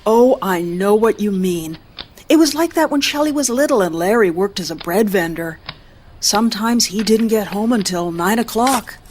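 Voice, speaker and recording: A woman's voice: mid-range voice, expressive, normal speed, a little mellow, slight background noise, close to an everyday voice. A woman playing a chatty, sympathetic mother in an audio drama. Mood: sympathetic, probing.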